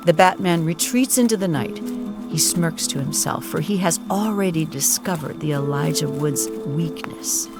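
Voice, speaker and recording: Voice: medium pitch, moderate pace. A narrator giving formal, wordy, mock-serious dramatic narration for an improvised audio comedy. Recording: prominent music behind voice. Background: music.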